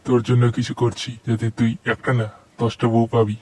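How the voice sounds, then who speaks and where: A man's voice: medium pitch, monotone, a little fast, close to an everyday voice. A man voicing a grumpy, foul-mouthed god who scolds crudely in a comic cartoon. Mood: exasperated. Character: grumpy, foul-mouthed male god, exasperated with his devotee. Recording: very noisy cartoon soundtrack.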